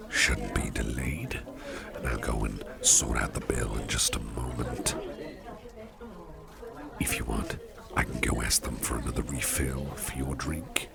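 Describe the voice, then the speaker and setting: Man acting as courteous businessman boss, ASMR roleplay, extremely low voice, slow and unhurried, breathy half-whisper, gentle and reassuring, chatter behind. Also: heavily acted. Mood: relaxed, attentive. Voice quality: breathy, often near-whispered, gravelly. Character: attentive, courteous businessman boss on a dinner date.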